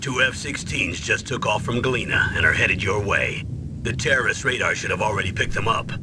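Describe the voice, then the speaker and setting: A man's voice: on the low side, a little fast, background noise, lightly acted. A man voicing an authoritative older colonel in a video game, giving firm military briefings. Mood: urgent, commanding. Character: authoritative older male colonel directing a mission.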